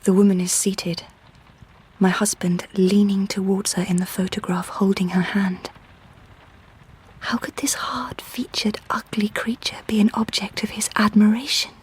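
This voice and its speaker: A woman playing a determined young Victorian wife in a radio drama, earnest formal phrasing and hushed asides. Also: medium pitch, steady tone, unhurried, breathy edge, rough recording. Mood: hushed, brooding. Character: determined, questioning young Victorian wife turned amateur detective. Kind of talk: radio drama acting with hushed first-person narration.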